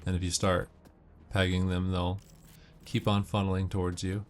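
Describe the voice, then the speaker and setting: Man, very deep voice, explanatory gamer walkthrough, noisy track with game gunfire behind. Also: little pitch movement, normal speed. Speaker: gamer giving walkthrough tips. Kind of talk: casual gameplay commentary. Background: video game gunfire and ambience.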